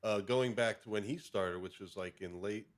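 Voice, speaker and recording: A man co-hosting a casual live stream, informal and a little halting as he talks. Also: fairly low pitch.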